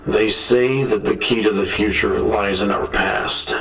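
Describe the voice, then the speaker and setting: A man's voice: fairly low pitch, steady tone, creaky, fairly bright tone. Man acting as a formal researcher in an echoey room. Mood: measured, thoughtful. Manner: formal, deliberate, articulate. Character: measured male research lead reporting on an ancient derelict ship. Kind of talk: dramatic scripted report narration. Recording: roomy echo, distant, music underneath.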